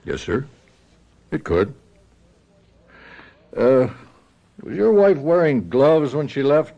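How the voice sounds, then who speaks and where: An actor playing a polite, quietly suspicious police sergeant in a radio mystery drama, probing in an understated way. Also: male voice, medium pitch, big pitch swings, slow, gravelly, muffled recording, exaggerated voice. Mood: politely probing.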